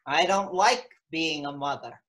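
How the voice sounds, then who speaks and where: A woman acting a frank, wistful elderly mother of seven in a play reading, speaking with halting, matter-of-fact candour. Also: low-pitched, a bit bright. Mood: rueful, blunt.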